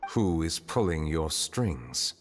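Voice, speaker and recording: Solemn high judge, deep male voice, formal, measured, calm, game dialogue, reverberant. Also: background noise, heavily acted. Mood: calm, stern. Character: calm, stern, dignified male chief justice. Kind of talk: video game voice acting. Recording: long reverb, music behind.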